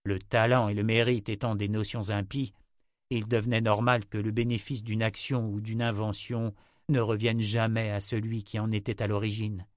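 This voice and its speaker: A man reading a fantasy novel aloud in a measured, deliberate way as its narrator. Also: lowish voice, level tone, no echo, clean studio sound. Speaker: audiobook narrator. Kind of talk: scripted narration.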